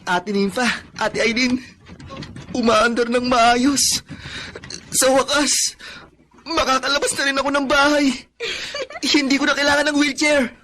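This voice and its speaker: Earnest, wistful young son. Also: male voice, very high-pitched, fairly even intonation, unhurried, pauses often, pushed and bright, a little hiss or hum, heavily acted. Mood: joyful, grateful. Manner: earnest, polite. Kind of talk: radio drama acting.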